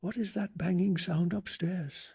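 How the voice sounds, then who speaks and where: A man voicing a determined but shaken young boy in a hushed, urgent storybook reading. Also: high voice, steady tone, measured pace, vocal fry, slightly rough, voice pushed up, full character voice. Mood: tense.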